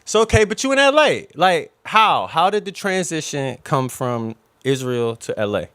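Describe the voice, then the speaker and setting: A man's voice: fairly high pitch, sing-song, normal speed, a bit bright, no echo. An American man hosting a casual podcast, talking in a slangy, hype-man way with lots of filler tags. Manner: slangy, hype-man exclamations, frequent "man" and "you know". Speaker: podcast host. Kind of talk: casual hyped podcast chat.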